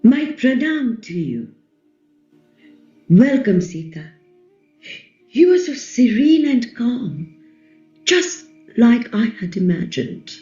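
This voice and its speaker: Female actor as a proud, fierce epic queen; high, measured voice, echoey recording. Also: sing-song, warm tone. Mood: solemn, admiring. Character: fierce, proud, long-angry ancient Indian queen. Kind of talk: dramatic play reading. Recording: echoey, slightly dull, music underneath.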